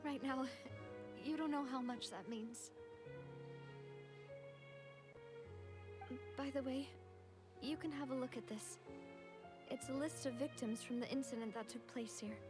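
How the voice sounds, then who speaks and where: A woman acting a gracious, gentle young female leader in a video game, grieving yet composed, over music. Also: high-pitched, close to an everyday voice. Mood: sorrowful, grateful. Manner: gentle, measured, sincere. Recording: music behind the voice.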